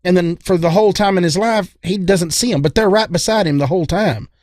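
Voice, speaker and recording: A man's voice: high voice, a little fast, a little mellow, dry recording, clean studio sound. A man co-hosting a podcast, giving his opinions in casual, rambling talk with emphatic repetition. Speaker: podcast co-host.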